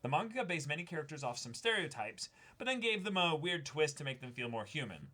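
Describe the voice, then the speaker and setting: Lively, bright-voiced young man reading aloud. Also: fairly high pitch, a little fast. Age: young adult. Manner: enthusiastic, interjects reactions while reading. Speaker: co-host of a reaction and unboxing show. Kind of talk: reading fan letters aloud with chatty asides. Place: small indoor room, seated beside co-host.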